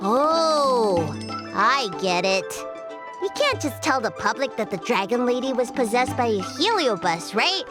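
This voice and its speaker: A woman voicing a timid, polite young girl in an anime-style video game, gentle and unsure. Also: on the high side, sing-song, a little slow. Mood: tentative. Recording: music behind the voice.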